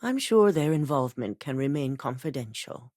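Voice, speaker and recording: A woman's voice: very deep voice, big pitch swings, moderate pace, darkish tone. An actress voicing a controlled, commanding middle-aged female chief executive in a video game, with a businesslike delivery. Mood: cool. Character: cold calculating female corporate CEO.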